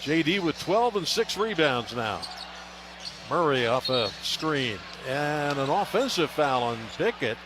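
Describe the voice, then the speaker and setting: A man's voice: a little high, very animated, a little slow. An American man working as a radio announcer, giving live basketball play-by-play in short, clipped phrases. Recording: loud arena noise behind. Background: arena ambience, bouncing basketball.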